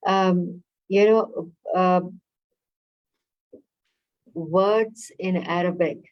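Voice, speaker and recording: A woman's voice: on the low side, steady tone, lo-fi sound. Woman explaining on a webinar call. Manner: measured, explanatory, with frequent "uh" and "you know". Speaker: webinar instructor on workplace harassment. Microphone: video call.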